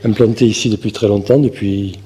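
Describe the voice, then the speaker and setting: A man's voice: fairly low pitch, steady tone, dark tone. Man in his fifties in a reverberant hall. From southern France. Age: 50s. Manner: halting, with fillers and restarts. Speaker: municipal election candidate. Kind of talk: campaign speech to a local audience. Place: meeting hall. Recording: long hall reverb with faint room noise.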